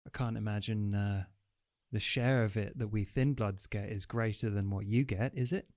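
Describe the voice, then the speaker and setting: A man's voice: fairly low pitch, fairly even intonation, moderate pace, a little mellow, no echo, studio quality. A man playing his character in a tabletop game, flippant and sarcastic. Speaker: player. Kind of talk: in-character roleplay.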